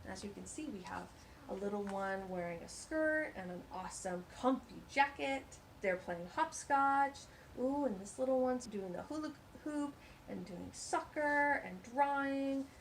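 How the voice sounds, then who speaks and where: A woman's voice: on the high side, a little slow, a little hiss or hum, rough recording. A woman reading a picture book aloud to young children, with sing-song delivery and chatty asides about the pictures. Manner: warm, animated, sing-song storytelling with asides. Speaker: children's storytime reader.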